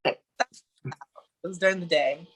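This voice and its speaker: A woman's voice: fairly low pitch, measured pace, fairly bright tone, slightly rough. A woman in her twenties from Ohio, animatedly telling a story as a guest in a casual conversation.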